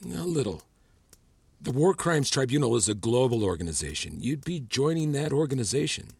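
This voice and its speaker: A man's voice: medium pitch, big pitch swings, normal speed, creaky, a little mellow, breathy edge, dry recording, heavily acted. An actor playing a persuasive, patronizing male professor and official in an audio drama, speaking articulately and coaxingly. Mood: businesslike, persuasive. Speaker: actor in a fiction podcast.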